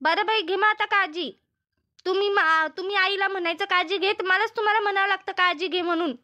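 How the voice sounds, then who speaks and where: Woman voicing a very high, piercing, nagging cartoon mother. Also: fairly even intonation, fairly quick, bright, forceful tone. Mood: fretful. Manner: nagging, repetitive, fretful. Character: Shanta's fussing, scolding older village mother. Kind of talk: comedy cartoon dubbing.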